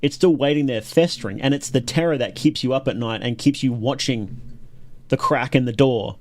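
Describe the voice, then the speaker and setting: Guy streaming reactions to videos, chatty and into it, repeats himself for emphasis, medium pitch. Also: normal speed, darkish tone, slight background noise. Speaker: livestream host reacting to videos. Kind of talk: casual live reaction commentary.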